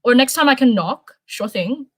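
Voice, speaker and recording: A woman's voice: a little high, lively intonation, normal speed, fairly bright tone, husky, voice pushed up. A woman voicing a playful, teasing teenage boy in a read-aloud story, cheeky and casual. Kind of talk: storybook character voice.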